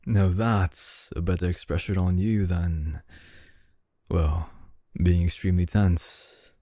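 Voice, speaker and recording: Man acting a deep, dark-voiced suave CEO. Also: steady tone, dry recording, clean studio sound. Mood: teasing, controlling. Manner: slow, teasing, deliberate, with low chuckles. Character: suave dominant male CEO, possessive and flirtatious. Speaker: ASMR voice actor. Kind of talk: intimate ASMR roleplay.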